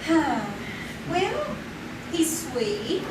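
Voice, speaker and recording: Dreamy giggly girl, woman in a live radio play; high, lively voice, far off in an echoey room. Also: drawn-out words. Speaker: Peggy, a young woman. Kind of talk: live old-time radio drama. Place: small theater stage, live. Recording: echoey stage from far off, noisy.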